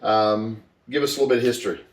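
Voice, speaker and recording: Rambling podcast host, distant echoey mic. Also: male voice, fairly low pitch, steady tone, fast. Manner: enthusiastic, rambling, many fillers. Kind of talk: casual interview chat.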